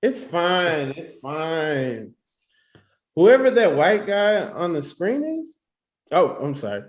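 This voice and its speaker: A man playing an excitable, positive friend in his early thirties in a screenplay table read, slangy and enthusiastic. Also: a little high, takes it slowly, echoey room. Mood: excited.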